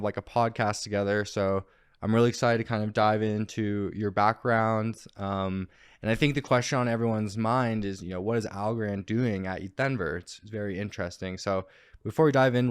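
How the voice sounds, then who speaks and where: A man's voice: on the low side, smooth, no echo, clean studio sound. A young man hosting a casual podcast interview, friendly and easygoing with lots of filler words. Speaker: podcast host. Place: media house studio.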